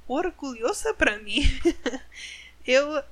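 A woman, an English native speaker, hosting a podcast in Portuguese, speaking slowly and carefully as a learner and correcting herself. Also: high voice, animated, fairly bright tone. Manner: slow, careful learner's speech with self-corrections. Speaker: podcast host. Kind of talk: casual podcast monologue.

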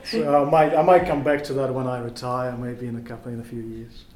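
A man's voice: mid-range voice, flat delivery, a little fast, crowd noise. Hesitant Russian-accented man, echoey room. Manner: thoughtful, hesitant, searches for words with many restarts. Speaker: podcast guest. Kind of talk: casual podcast interview.

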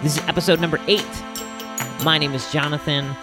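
A man hosting a podcast with a casual, halting, self-correcting solo introduction into a close microphone. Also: a little high, fairly even intonation, over music. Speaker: podcast host.

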